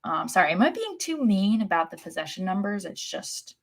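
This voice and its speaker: A woman's voice: mid-range voice, sing-song, a little fast. A woman co-hosting a sports podcast, chatting casually with dry, sarcastic humor. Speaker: podcast co-host.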